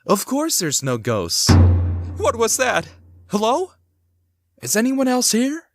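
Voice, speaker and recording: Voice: mid-range voice, slow pace, soft background music, heavily acted. An actor playing a curious amateur sleuth in a hobby audio drama, speaking expressively. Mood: puzzled, then startled. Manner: expressive, swinging between musing and exclaiming. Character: curious amateur sleuth exploring an old house. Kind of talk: hobby audio drama acting, thinking aloud.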